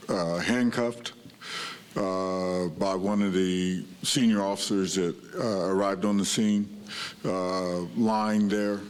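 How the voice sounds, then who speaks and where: A man on a review board asking polite, halting questions with many filler sounds. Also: low-pitched, smooth. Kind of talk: questioning at a public meeting.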